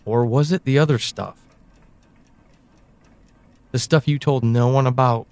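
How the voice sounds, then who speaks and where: An actor plays a brooding man who seems to know too much, in an intimate audio drama monologue. His voice sits a little high for a man and is recorded close and dry. He addresses one person directly, choosing each phrase with deliberate, halting pauses. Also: no room sound, natural voice. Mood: probing, ominous. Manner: deliberate, halting delivery with sighs and pointed pauses. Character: brooding, knowing man confiding in an amnesiac woman.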